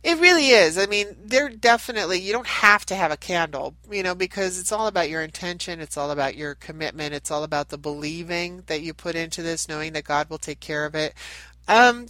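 A woman with a nasal voice that a lingering head cold makes stuffier still, pitched fairly low for her. She wanders and restarts her sentences in a chatty spiritual talk. The sound is dry and close. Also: a bit bright, no echo, low-quality recording. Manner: meandering, chatty, with many restarts. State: getting over a head cold. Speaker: spiritual reader and channel host. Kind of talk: casual spiritual talk to camera.

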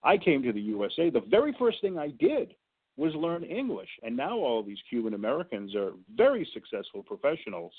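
A man's voice: medium pitch, very animated, fairly quick, husky. A man calling in to a talk show as a guest and making his case emphatically, with sports comparisons. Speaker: guest advocacy director. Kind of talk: phone-in radio interview. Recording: tinny phone line.

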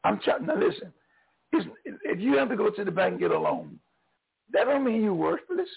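An older man, a pastor with a Southern accent, preaching an encouraging sermon in a hoarse voice, swinging between loud and soft. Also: high-pitched, huge pitch swings, normal speed, phone-quality sound.

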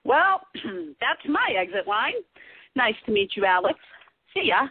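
A woman's voice: a little high, sing-song, measured pace, slightly rough, dry recording, heavily acted. A woman playing a blunt, wisecracking sister in a radio play, sardonic and teasing. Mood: wry, joking. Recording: tinny phone-like lo-fi audio.

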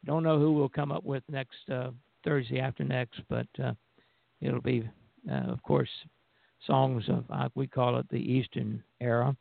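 A man's voice: mid-range voice, little pitch movement, a little slow, vocal fry, no room sound. An older man chatting casually as a radio show host over a narrow, phone-like connection. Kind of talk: casual chat. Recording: phone-like, narrow band audio.

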